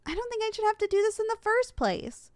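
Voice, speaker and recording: High-pitched, animated, indignant young succubus played by a woman. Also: quick pace, dry recording. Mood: defensive. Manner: indignant, sputtering, blunt. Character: possessive, flustered young succubus student, indignant and competitive. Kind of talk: ASMR audio roleplay acting.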